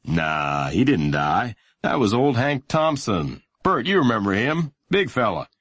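A man voicing a big, folksy policeman in a storybook, steady and reassuring in his manner. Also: medium pitch, unhurried, dry recording. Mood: easygoing.

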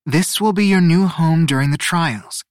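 A man performs a poised woman guide in a storybook. The voice is lifted in pitch and recorded dry, with a formal, gracious, announcing manner. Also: moderate pace, no room sound, close to an everyday voice.

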